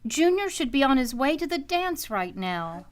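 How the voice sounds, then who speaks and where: Exasperated wife and mother, female actor for vintage radio comedy: scolding, animated, fairly high. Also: moderate pace. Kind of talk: old-time radio comedy acting.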